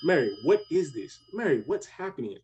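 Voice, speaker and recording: Actor playing an animated, alarmed husband, higher-pitched. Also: male voice, normal speed, dull audio, noisy background. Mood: exasperated, demanding. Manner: exclaiming, demanding. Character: alarmed, exasperated husband and father in science-fiction horror story. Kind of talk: radio drama acting.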